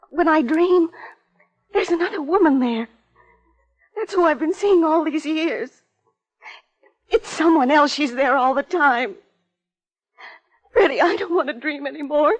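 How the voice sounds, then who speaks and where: A fragile, fearful young woman with a very high, childlike voice. She pleads in 1950s radio drama style. The sound is dull, like an old broadcast.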